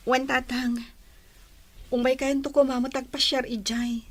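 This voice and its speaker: A woman's voice: high-pitched, little pitch movement, a little slow. A woman with an Ilocano accent acting a dutiful, homesick young bride in a comedy drama. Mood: subdued.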